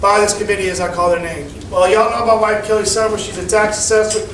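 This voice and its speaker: A man addresses a packed community hall in a loud, folksy manner. His voice sits high for a man. He is recorded from a distance in an echoey room with clear background noise. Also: fairly even intonation, quick pace. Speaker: meeting chairman. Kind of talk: public address to a meeting.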